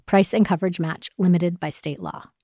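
A woman reads scripted advertising copy as an announcer. Her voice sits a little low for a woman. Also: normal speed, warm tone, dry recording, studio quality. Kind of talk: scripted ad read.

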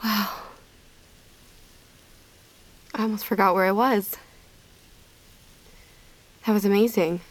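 An actress playing a weary, quietly vulnerable woman in a naturalistic audio drama, speaking in a candid, subdued way. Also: medium pitch, fairly even intonation, normal speed, close to an everyday voice. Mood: moved, relieved.

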